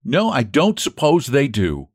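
A man's voice: medium pitch, wildly swooping pitch, unhurried, no echo, clean studio sound, pitched above the performer's own voice. A man voicing an awkward, sensitive young man who speaks hesitantly and earnestly. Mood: rueful.

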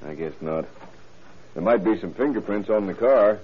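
A man playing a gruff, businesslike small-town undersheriff in an old-time radio crime drama, terse and plain-spoken. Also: on the low side, animated, normal speed. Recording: noisy, tinny old radio broadcast recording.

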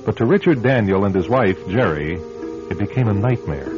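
A man hosts and narrates a radio suspense play in a measured, dramatic way. His lowish voice moves expressively in pitch, with music clearly behind it on a dull recording. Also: animated.

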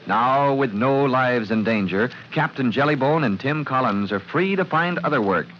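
A man's voice: medium pitch, animated, normal speed. A man narrating and announcing a scripted drama in dramatic old-time radio style. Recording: muffled old radio recording, some noise.

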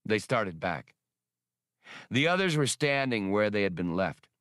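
A man's voice: lowish voice, very animated, a little slow, fairly bright tone, no echo, clean studio sound. A man reading an adventure story aloud as a dramatic, scripted narration. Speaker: audiobook reader.

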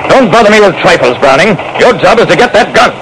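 An actor playing a pompous, irascible railroad vice president with an imperious, emphatic manner, in old-time radio style on a noisy recording. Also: male voice, high-pitched, expressive, brisk. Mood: indignant, bossy.

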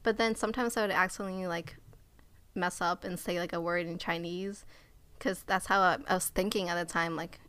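A woman's voice: fairly low pitch, fairly even intonation, a little fast, fairly bright tone, clean tone, no room sound. A young adult woman whose first language is Cantonese, talking casually in a hesitant way with many fillers and trailing-off phrases. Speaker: participant. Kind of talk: casual conversation.

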